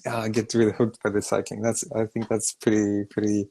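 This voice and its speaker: A man from Sweden with a Swedish accent, hosting a casual live video interview, talking haltingly and repeating words. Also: on the low side, monotone, dark tone. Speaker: host.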